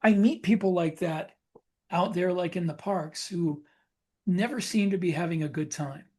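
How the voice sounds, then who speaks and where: A man's voice: high voice, moderate pace, a little mellow. A man co-hosting a podcast in casual chat, thinking aloud in a reflective, conversational way. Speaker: podcast co-host and writer.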